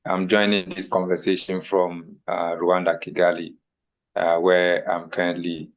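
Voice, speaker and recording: A man's voice: deep voice, flat delivery, a little slow. A Ghanaian man with a Ghanaian accent, a Twi speaker, introducing himself hesitantly on a video call. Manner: hesitant, many fillers. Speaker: workshop participant.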